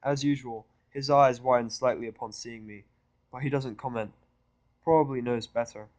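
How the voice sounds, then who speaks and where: A man's voice: medium pitch, fairly even intonation, normal speed, no echo. A man narrating first-person fiction with a sardonic, irritable delivery. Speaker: audiobook narrator.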